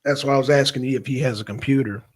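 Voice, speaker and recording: A man hosting a live stream in an informal, halting, casual chat style. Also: medium pitch, steady tone.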